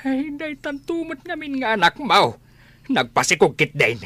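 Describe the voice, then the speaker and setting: A woman's voice: high voice, wildly swooping pitch, normal speed, a bit bright, no room sound, full character voice. An actress playing a bitter, reproachful middle-aged mother with a Filipino accent, in radio comedy-drama style.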